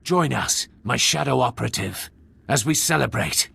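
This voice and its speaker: An actor playing a cruel, gloating Sith lord in a video game, with a raspy voice and a pompous, grandiose delivery. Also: male voice, medium pitch, expressive, moderate pace, pushed and bright, heavily acted. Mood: gloating, imperious. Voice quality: gravelly, raspy. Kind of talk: theatrical video game villain acting.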